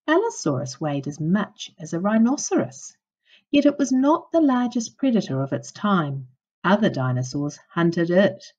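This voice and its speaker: A woman's voice: lowish voice, mellow. Lady teacher reading aloud to little kids, really up and down melody, kinda muffled recording. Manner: clear, instructive phrasing for young children. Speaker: primary school teacher. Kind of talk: children's non-fiction read-aloud lesson.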